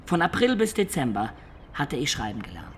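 An actor playing an imaginative peasant-born man who looks back on his life in a German radio play, in reflective, expressive storytelling. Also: mid-range voice, fairly quick, a little hiss or hum.

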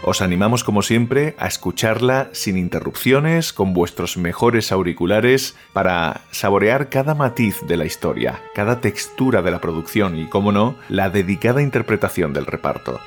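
Low-voiced Spanish man presenting. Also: expressive, normal speed. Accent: Spain. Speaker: host and producer of a horror audio fiction podcast. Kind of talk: scripted introduction narration. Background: faint music.

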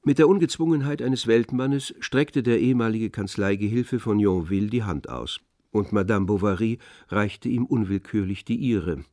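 A man's voice: on the low side, darkish tone, dry recording, clean studio sound. A man narrating a literary radio play in a scripted, storytelling style. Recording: music behind the voice. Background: music.

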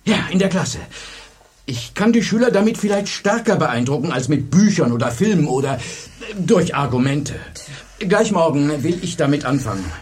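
An actor in a radio play as an earnest, deliberate high school history teacher. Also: male voice, very animated, slight background noise. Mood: absorbed, pensive. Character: driven, thoughtful high school history teacher, married man. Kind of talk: German radio play acting.

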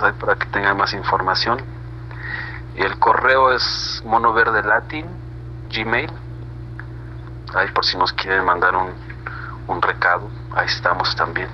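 A low-pitched Mexican man chats as an interview guest. He rambles casually in slang, often ending on a tag question. The internet call sounds dull and slightly noisy. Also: sharp tone. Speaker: reggae band member being interviewed.

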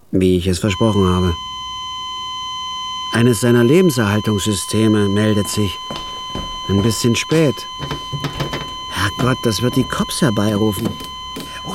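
An actor playing a quiet, deadpan hired killer who narrates his own story in a radio drama. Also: noisy background. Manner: deadpan, quiet, low-key. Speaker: narrator and lead.

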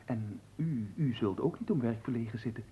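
A man's voice: mid-range voice, animated, normal speed, dark tone, a little hiss or hum, natural voice. A man playing a cheeky, gluttonous manservant in a radio play, glib and playful, flirting and talking between mouthfuls. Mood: flirtatious, brazen. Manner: glib, playful, talks while eating and humming. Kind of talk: theatrical radio play acting.

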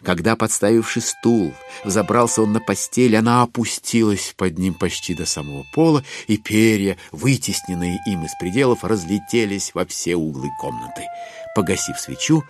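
A man narrating a literary audio drama in an expressive scripted style. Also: fairly low pitch, moderate pace, faint music behind.

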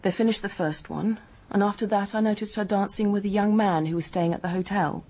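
An actress playing a young, guarded, matter-of-fact English dance hostess in a radio drama, speaking in a brisk, frank, colloquial way. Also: female voice, mid-range voice, dark tone, slightly rough. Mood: defensive, frank. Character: hard-headed working-class hotel dance hostess, guarded, matter-of-fact.

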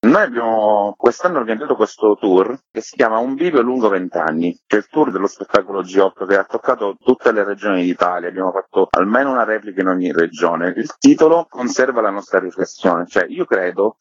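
A man's voice: fairly low pitch, brisk, few pauses, slightly rough, dry recording, dull audio. A man speaking in an earnest, explanatory way, as a theatre maker being interviewed. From Sicily, Italy. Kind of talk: thoughtful interview talk.

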